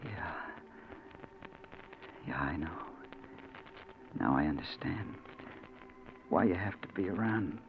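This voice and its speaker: A man's voice: low voice, lively intonation, heavily acted. Haunted, swooping newspaperman, old radio. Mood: weak, resigned. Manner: emotional, halting, dramatic. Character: desperate shot newspaperman facing death, excitable, haunted. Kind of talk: 1940s radio drama acting. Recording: muffled, faintly noisy 1940s radio recording.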